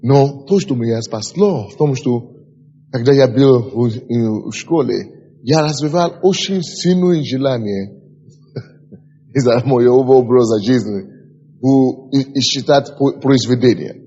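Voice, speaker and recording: Husky pastor in an echoing hall. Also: male voice, medium pitch, slow pace, mellow. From Africa. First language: an African language. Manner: emphatic, repeats key phrases and asks the class questions. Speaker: pastor teaching a ministry school. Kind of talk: exhorting lecture. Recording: dull lo-fi tape with long hall reverb.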